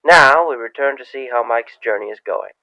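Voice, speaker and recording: A man's voice: mid-range voice, moderate pace, pushed and bright, no room sound. A man as a radio show announcer giving scripted recaps and announcements through a radio effect. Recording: thin, phone-like radio sound.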